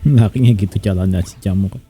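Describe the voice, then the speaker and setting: A man's voice: deep voice, rapid-fire, mellow, slightly rough, faint music behind. A man with an Indonesian accent giving casual live commentary as he plays a video game. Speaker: game streamer.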